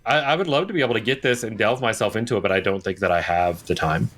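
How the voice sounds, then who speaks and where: A man's voice: on the low side, fast talker, a bit bright. A man in his thirties co-hosting a podcast, explaining things in casual chat with hesitant, restarted phrases. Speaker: podcast co-host.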